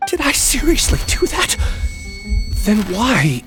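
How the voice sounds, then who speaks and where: An actor playing a gentle, troubled young man with amnesia who speaks hesitantly and uneasily. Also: very high voice, wildly swooping pitch, slow pace, music in the background, heavily acted. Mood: uneasy. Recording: game soundtrack behind.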